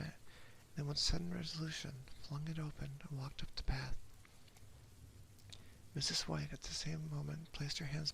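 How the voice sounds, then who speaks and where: A man reading a story aloud as ASMR in a soft, hushed, unhurried whisper, close to a binaural microphone. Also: fairly high pitch, gravelly, slight background noise, low-quality recording. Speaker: ASMR streamer.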